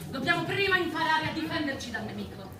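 Una donna che interpreta un'animale a capo di una fattoria, rivoluzionaria eloquente e scaltra, con recitazione teatrale enfatica da radiodramma. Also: female voice, high-pitched, expressive, fast talker, crowd noise. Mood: solemn, persuasive. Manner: declamatory, rhetorical, emphatic. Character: commanding farm-animal leader, eloquent, rallying, sly revolutionary. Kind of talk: theatrical stage-style radio drama acting. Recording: roomy echo, loud music underneath.

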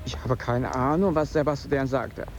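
A man's voice: medium pitch, slight background noise, close to an everyday voice. An actor in a German radio drama playing an uneasy shopkeeper who is evasive and placating with his friend. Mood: guarded excuses. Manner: evasive, hurried excuses.

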